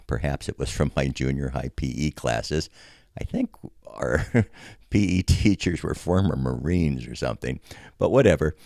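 Older American male storyteller, bottomless gravelly register, plain-spoken and conversational, dry close sound. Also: extremely low pitch, darkish tone, no room sound. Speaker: storyteller, army veteran. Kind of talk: personal reminiscence, podcast narration.

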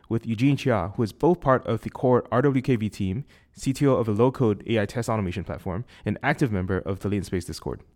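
A man with a Singaporean accent, reading a scripted podcast introduction in a brisk, informative way. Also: fairly low pitch, a little mellow. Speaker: podcast host.

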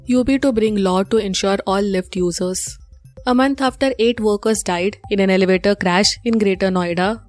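A woman's voice: mid-range voice, darkish tone. A woman with an Indian accent reading scripted news updates as a presenter.

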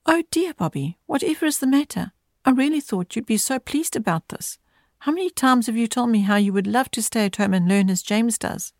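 A woman voicing a loving mother in a storybook reading, speaking softly and tenderly, in a voice raised a little above her own. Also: a little high, lively intonation, dry recording, clean studio sound, pitched above the performer's own voice. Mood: concerned. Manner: soft, tender, slow.

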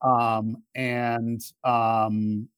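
Male podcast guest, emphatic and argumentative, halting phrasing, close dry sound. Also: mid-range voice, level tone, clear, resonant tone, no room sound. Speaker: interview guest, political commentator and media executive. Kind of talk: conversational political debate.

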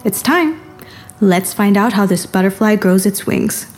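A woman's voice: mid-range voice, lively intonation, unhurried, a little mellow, soft background music, close to an everyday voice. A woman playing a cheeky, matter-of-fact lab assistant in an audio drama. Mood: brisk, teasing.

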